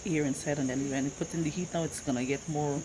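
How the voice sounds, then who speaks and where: A woman's voice: deep voice, steady tone, fast. A woman with a Guyanese accent chats casually to viewers in a home cooking vlog, thinking aloud and repeating herself. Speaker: cooking vlogger. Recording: noisy home recording with insect chirps.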